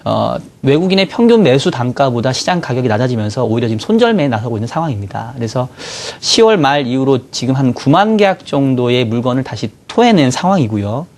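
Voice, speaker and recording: A man with a cold-roughened voice, a bond strategy guest in a TV studio, explaining market moves in a measured way with many fillers. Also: mid-range voice, sing-song, a little mellow. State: hoarse from a cold. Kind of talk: financial market analysis.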